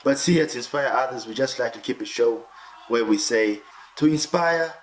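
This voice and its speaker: A man's voice: medium pitch, moderate pace, slight background noise, low-quality recording. A male host who opens the show and introduces his guest with eager, repetitive enthusiasm. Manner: enthusiastic and repetitive, keeps saying "incredible".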